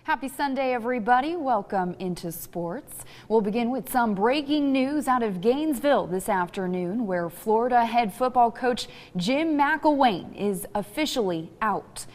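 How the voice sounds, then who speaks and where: A woman's voice: fairly high pitch, expressive, moderate pace, a little mellow, in a large hall. A woman with an American accent reading scripted sports news as an anchor.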